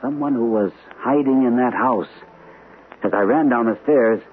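An actor playing an earnest, anxious army captain recovering from shell shock in a vintage radio mystery. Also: male voice, medium pitch, normal speed, close to an everyday voice. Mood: uneasy. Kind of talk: vintage radio mystery acting and first-person narration. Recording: old radio drama, tinny and noisy.

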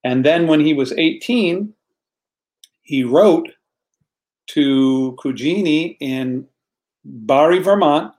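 A man's voice: on the high side, expressive, slow pace, pauses often, darkish tone. An American man, a guest in a casual online chat, telling a story earnestly and repeating words as he searches for them. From United States.

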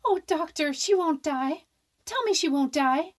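Woman acting a jittery, high-voiced young roommate. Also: expressive, a little slow. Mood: distressed. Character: jittery, snappish, stubborn young working woman. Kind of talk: radio horror drama acting.

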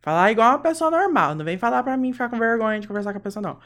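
A woman's voice: fairly high pitch, expressive, racing, a bit bright. A young woman with a Brazilian caipira accent, co-hosting a casual chat in a blunt, emphatic way and repeating words for stress. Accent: Brazilian caipira (São Paulo countryside).

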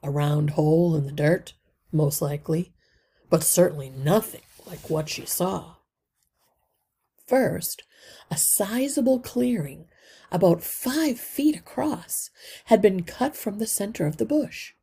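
A woman reads a children's story aloud expressively, with deliberate phrasing that drops into hushed line endings and a husky, breathy voice. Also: lowish voice, lively intonation. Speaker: read-aloud podcast narrator.

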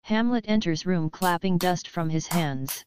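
A woman's voice: on the low side, unhurried, darkish tone, a little hiss or hum. A woman narrator reading stage directions for an audio play. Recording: dull lo-fi sound, music behind.

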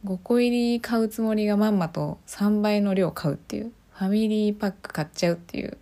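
A woman's voice: medium pitch, brisk, mellow. A woman hosting a podcast on her own, chatting casually and often putting questions to her listeners. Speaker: podcast host. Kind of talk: casual solo podcast chat.

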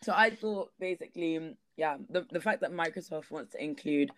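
A man with a slightly hoarse, worn voice, talking casually as a guest with many fillers and little laughs. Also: a little high, moderate pace, a bit bright. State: losing his voice. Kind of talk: casual discussion.

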